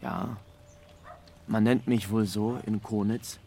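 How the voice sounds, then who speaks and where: An actor playing a simple young small-town rag dealer who answers haltingly and evasively in a radio drama. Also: male voice, lowish voice, fairly even intonation, creaky, warm tone, slight background noise. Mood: evasive, submissive.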